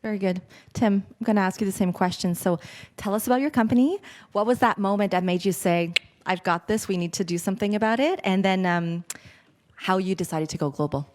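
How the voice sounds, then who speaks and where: A woman moderating a conference panel, asking questions briskly. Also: medium pitch, a little mellow. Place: conference stage.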